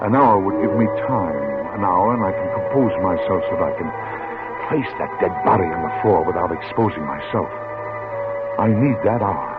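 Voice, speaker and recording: A nervous clerk turned killer. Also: male voice, low-pitched, lively intonation, moderate pace. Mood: brooding. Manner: nervous stammering, confessional narration. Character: timid middle-aged clerk who turns killer. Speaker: radio actor. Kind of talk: 1950s radio drama narration. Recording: tinny old radio broadcast with music.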